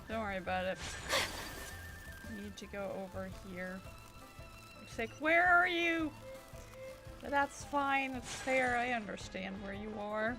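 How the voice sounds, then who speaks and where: A woman game streamer giving casual, playful live commentary with silly little asides. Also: a little high. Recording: game music behind the voice. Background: video game music.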